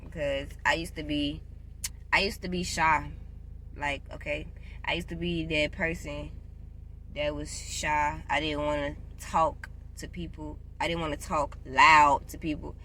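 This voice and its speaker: Young woman vlogger in her twenties, bright and forceful, chatty and loud, with faint traffic behind her. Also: sits low, flat delivery, a little slow. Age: 20s. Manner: chatty, repeats herself, loud and playful. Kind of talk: casual advice vlog.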